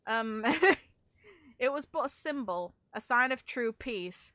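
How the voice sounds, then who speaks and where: Bright-voiced woman, wry and casual. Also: a little high, lively intonation, takes it slowly, dry recording, studio quality. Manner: wry, sarcastic asides, stumbles while reading. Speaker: streamer reading and reacting to game lore. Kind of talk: casual reading aloud with off-the-cuff commentary.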